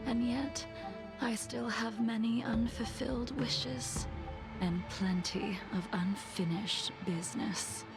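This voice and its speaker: A slow, creaky, weary swordswoman. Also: mid-range voice. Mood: resigned. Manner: solemn, measured, formal. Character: cold, weary, vengeful ageless swordswoman, solemn and composed. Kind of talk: English video game voice acting. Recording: game music behind the voice.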